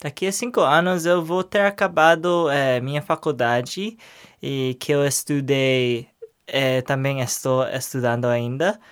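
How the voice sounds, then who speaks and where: Young man, halting speech. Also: medium pitch, little pitch movement, clear, resonant tone. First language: English. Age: young adult. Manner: hesitant, searching for words in a second language. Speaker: interview guest. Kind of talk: casual interview answers.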